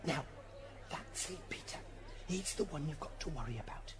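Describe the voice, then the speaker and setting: A woman's voice: sits low, lively intonation, moderate pace, a little hiss or hum. A woman playing a wry, fussy, easily flustered defence advocate in heaven's court for a radio drama. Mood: wry, confiding. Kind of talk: amateur radio drama acting.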